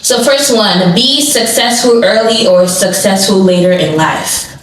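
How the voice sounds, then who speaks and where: A woman's voice: medium pitch, a little slow, far from the mic. A young woman hosts a podcast in a loose, giggly way, her talk full of fillers. She sits well back from the mic in a very echoey room with a faint hiss behind her. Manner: giggly, chatty, filler-heavy. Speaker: podcast host. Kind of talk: casual chat.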